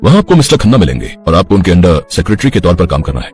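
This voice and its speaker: A man's voice: deep voice, big pitch swings, rapid-fire, darkish tone, slightly rough, heavily acted. A man playing young office men and suitors in a romance story, earnest and dramatic, mixing English phrases into his Hindi. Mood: businesslike. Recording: music behind the voice.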